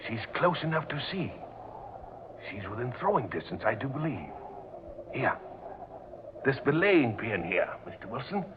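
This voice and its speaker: An actor playing an earnest, excitable sailor, respectful and hesitant with folksy seaman's talk, in an old-time radio drama. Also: mid-range voice, normal speed, long pauses, lightly acted. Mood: insistent. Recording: dull old radio recording with hiss.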